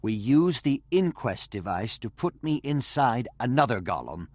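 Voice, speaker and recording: A man's voice: mid-range voice, sing-song, moderate pace, no room sound. A man playing an anxious, devoted young gnome-like engineer in a video game, earnest and pleading. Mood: worried, pleading. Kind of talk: video game cutscene acting.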